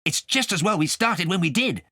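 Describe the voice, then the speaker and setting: A man voicing an excitable, uneasy cat companion in a storybook, eager and hurried. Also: high-pitched, no room sound, studio quality, voice pushed up, full character voice. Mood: urgent.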